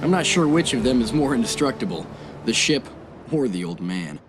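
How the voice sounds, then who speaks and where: An actor playing a battle-weary navy captain who narrates in a solemn, measured way in a video game. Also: male voice, medium pitch, very animated, background noise, lightly acted. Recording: voice over loud music score.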